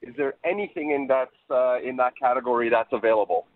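Hoarse male caller on a phone line. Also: medium pitch, quick pace, dry recording. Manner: apologetic, polite. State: hoarse from a cold. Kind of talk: phone-in question.

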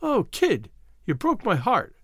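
A man's voice: sits high, wildly swooping pitch, slow, a bit bright, breathy edge, dry recording, clean studio sound, pitched above the performer's own voice, exaggerated voice. A man voicing an anguished, devoted young woman in a storybook, pleading and emotional.

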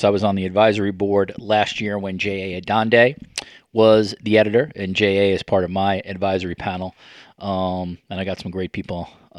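Low-voiced male podcast host, very dry sound. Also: dry recording. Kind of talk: conversational podcast introduction.

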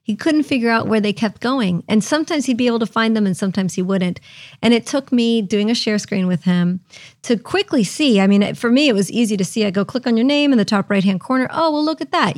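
A woman hosting a podcast, explaining technology in a chatty, lively way. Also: medium pitch, dry recording, clean studio sound. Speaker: podcast host. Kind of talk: explaining tech casually.

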